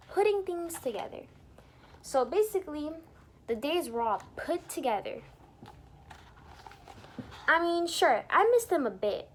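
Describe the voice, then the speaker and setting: Woman, high-pitched, lively swooping intonation, children's read-aloud, teacherly and expressive, faintly noisy recording. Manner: expressive, teacherly, asks listeners questions. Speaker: children's storybook reader and teacher. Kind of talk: animated read-aloud for young children with asides explaining words.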